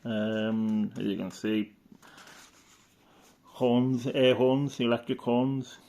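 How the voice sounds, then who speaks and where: A man's voice: medium pitch, flat delivery, extremely slow, dark tone. A man giving casual, rambling show-and-tell commentary as a hobbyist, matter-of-fact and fond of tag questions. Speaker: hobbyist presenter.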